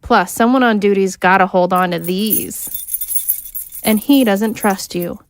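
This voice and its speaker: A woman's voice: medium pitch, slow. An actress playing a bossy, hostile female prison guard, sarcastic and snappish, in an audio drama. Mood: scornful.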